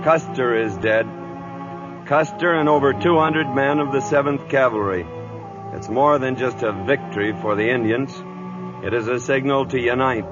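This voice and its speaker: An actor voices a seasoned cavalry captain, a man of authority with a dry, clipped delivery. His voice sits at a middling pitch. It is an old radio drama with muffled sound and prominent music. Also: normal speed, lightly acted. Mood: grave, reflective. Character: gruff, dry-humoured veteran cavalry captain near retirement. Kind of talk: old-time radio drama acting with first-person narration. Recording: dull vintage radio broadcast, music behind.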